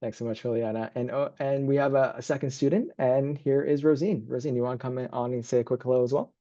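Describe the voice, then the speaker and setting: A man's voice: medium pitch, steady tone, quick pace, dark tone, dry recording, dull audio. A man working as a university admissions advisor, hosting and presenting an informal online information session.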